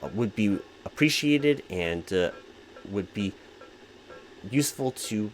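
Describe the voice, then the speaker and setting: A man's voice: fairly low pitch, expressive, takes it slowly, faint music behind, poor audio. A man playing a distracted, flustered corporate hiring manager in a comedic roleplay, speaking in wordy, stammering office formality. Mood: formal, polite. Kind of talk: comedic one-sided ASMR-style roleplay, spoken aloud.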